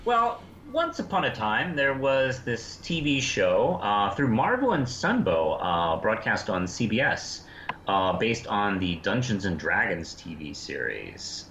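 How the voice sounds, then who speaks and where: A man co-hosting a review show, explaining things in casual chat with halting pauses. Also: fairly low pitch, big pitch swings, fairly bright tone. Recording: dull, roomy sound with faint noise.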